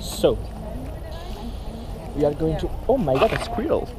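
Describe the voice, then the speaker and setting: A young man chats casually as he vlogs out on the street. His pace is a little unhurried and his intonation very lively. The handheld recording is swamped by loud noise. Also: on the high side. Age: 20s. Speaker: vlogger. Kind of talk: casual vlog chat. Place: city streets, outdoors. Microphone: camera at arm's length. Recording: noisy handheld outdoor street audio.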